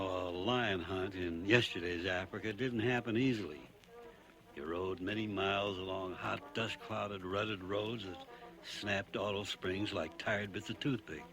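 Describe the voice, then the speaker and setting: A man playing a skeptical playwright, narrating his safari in measured, wry phrases for a radio drama. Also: low-pitched.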